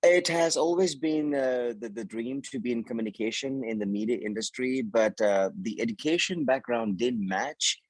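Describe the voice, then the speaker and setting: A man's voice: mid-range voice, moderate pace, few pauses, no room sound. A middle-aged Thai man, a news anchor and TV host whose first language is Thai, answering interview questions with many fillers and repeated words. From Thailand.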